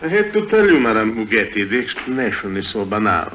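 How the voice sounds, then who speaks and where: A menacing male villain of an old radio thriller. His lowish voice has a hard, bright edge, and he speaks with suave, pedantic politeness. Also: sing-song, a little fast, low-quality recording. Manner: mock-polite, pedantic, silky threats. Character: suave, menacing male criminal doctor with a gun. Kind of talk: 1950s radio drama acting.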